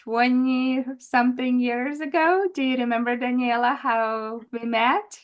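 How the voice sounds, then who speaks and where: A woman's voice: on the high side, steady tone, a little slow, highs cut off. A woman in her forties who is not a native English speaker, a guest asking a question on a video call, hesitant and full of fillers.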